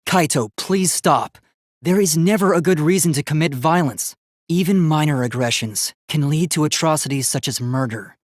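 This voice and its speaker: A man's voice: on the high side, moderate pace, dry recording, clean studio sound, close to an everyday voice. A man voicing an earnest, polite young male robot in a video game, speaking in formal, precise, literal-minded phrases. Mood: pleading, concerned.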